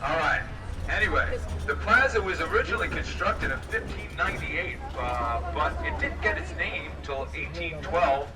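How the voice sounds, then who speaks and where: A hoarse man with a raspy voice, chatting and joking with riders as he gives a guided trolley tour. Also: on the high side, expressive, normal speed. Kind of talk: live guided tour commentary over a PA. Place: moving trolley on city streets. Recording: distant voice over loud vehicle noise. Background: trolley engine and street noise.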